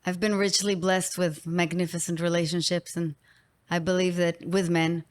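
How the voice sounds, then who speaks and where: A woman's voice: on the low side, flat delivery, moderate pace. A woman with an Israeli accent hosting an interview podcast, talking in an emphatic, effusive way. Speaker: podcast host. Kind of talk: interview conversation.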